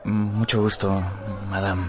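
An actor playing a shy, courteous young notary's clerk in a radio drama, with polite, formal manners. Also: male voice, on the low side, flat delivery, a little slow, close to an everyday voice.

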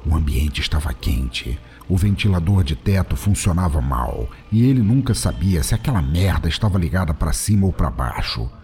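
A man's voice: sits low, big pitch swings, normal speed, hardly any pauses, mellow, soft background music. A man reading scripted narration for an audio drama.

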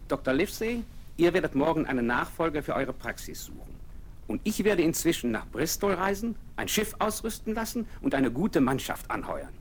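A lively, commanding nobleman. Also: on the high side, moderate pace, slight background noise. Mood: eager. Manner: commanding, enthusiastic planner. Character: eager, self-assured nobleman, middle-aged man.

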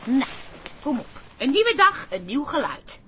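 A woman playing a bossy but caring café wife in a Dutch radio comedy, speaking in a brisk, cajoling, expressive way. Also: sits high, a little hiss or hum, full character voice. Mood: coaxing, firm.

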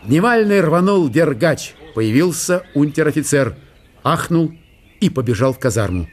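A man's voice: a little high, big pitch swings, normal speed. A man narrating a literary historical radio drama as a scripted storyteller.

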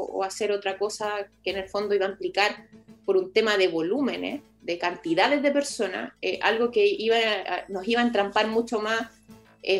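A woman with a Chilean accent speaking as a municipal official in an interview, explaining at length and often rephrasing. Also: medium pitch. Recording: music playing behind the voice.

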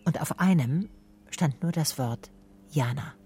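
A woman's voice: low voice, unhurried, dark tone, slight background noise. An actress playing a private detective in a radio drama, matter-of-fact and clipped as she works and recounts her case. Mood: matter-of-fact, brooding. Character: female private detective narrating her own case, dry, brisk. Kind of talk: radio drama first-person narration and dialogue.

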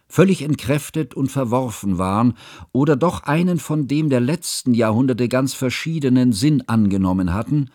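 An actor playing an earnest, troubled scholar who narrates a literary radio drama in a measured, formal, precise way. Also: male voice, medium pitch, a little mellow, no echo, studio quality.

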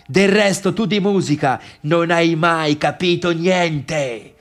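A man's voice: fairly high pitch, slow pace. A man playing a bitter adult son in a theatrical monologue, intense and reproachful, at times sinking to a near-murmur. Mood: bitter, wounded. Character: tired, bitter adult son confronting his overbearing father.

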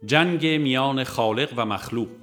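A man reading a religious audiobook in a measured, formal and deliberate scripted narration. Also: medium pitch, fairly bright tone, faint music behind. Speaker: audiobook reader.

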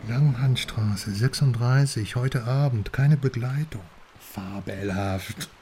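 A man's voice: mid-range voice, slow pace, warm tone, slight background noise, lightly acted. An actor playing a cocky, boastful small man, an irreverent journalist in a radio crime drama, talking in a wry, chatty way. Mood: cocky, amused.

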